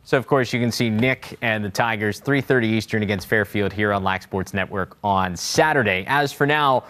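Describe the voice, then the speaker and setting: A man's voice: lowish voice, moderate pace, a bit bright. A man hosting a sports talk show, interviewing a guest in an upbeat, conversational way.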